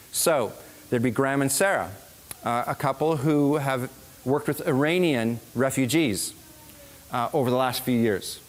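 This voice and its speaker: A man speaking informally to a church gathering as the leader of a small group, with frequent fillers and restarts. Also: medium pitch. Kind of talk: informal talk to a congregation.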